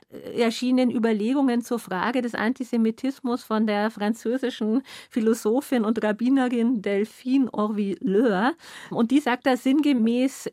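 Female radio interviewer, slightly high voice, measured and explanatory, formal, close and dry studio sound. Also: a little mellow, no echo. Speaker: interviewer, presenter.

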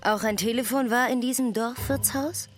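A woman's voice: fairly high pitch, moderate pace, background noise. A woman narrating a literary radio drama in spare, fragmentary phrases.

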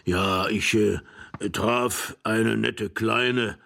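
A man voicing a doddering, forgetful old husband in an audiobook, rambling hesitantly, repeating himself and clearing his throat. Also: on the low side, fairly even intonation, extremely slow, fairly bright tone, voice pushed up, heavily acted. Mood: absent-minded. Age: older. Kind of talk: audiobook character voice.

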